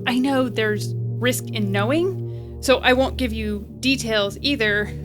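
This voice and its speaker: A woman's voice: on the high side, slow pace, over music. An actress in an audio drama playing a reckless, self-deprecating young woman who rambles between joking bravado and earnest pleading. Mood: earnest, flippant.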